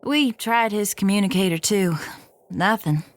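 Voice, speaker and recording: A woman's voice: medium pitch, animated, unhurried, no room sound, natural voice. Actress as adventurous female cargo pilot, sci-fi audio drama. Medium voice, folksy and plain-spoken, close dry sound. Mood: concerned, matter-of-fact. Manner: folksy, informal storytelling.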